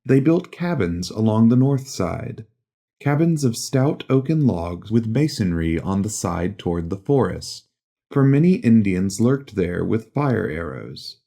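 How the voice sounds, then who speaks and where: A man narrating a literary audiobook in a measured, solemn storytelling manner. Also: on the low side, very animated, vocal fry, mellow.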